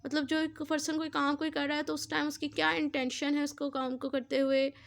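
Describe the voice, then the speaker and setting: A woman's voice: high voice, flat delivery, a little fast, creaky, slight background noise. A woman with a Pakistani accent giving an explanatory law lecture, repeating and rephrasing in a didactic way and mixing in English terms.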